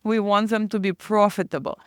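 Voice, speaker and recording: A woman's voice: mid-range voice, steady tone, a little fast, clear, resonant tone, no echo, clean studio sound. A woman finance professor co-hosting a casual academic discussion, explaining with everyday comparisons.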